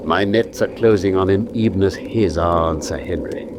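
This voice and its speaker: An actor playing a brisk, analytical detective with an English accent, speaking with assured, theatrical precision in a radio drama. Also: male voice, low voice, background noise, natural voice. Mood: grave. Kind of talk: British radio drama acting.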